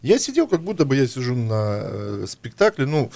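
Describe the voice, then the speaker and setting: A male radio host talking in a relaxed studio chat. His voice sits at a middle pitch, and he keeps pausing on drawn-out fillers while he searches for words. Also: creaky. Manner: hesitant, many fillers, warm praise.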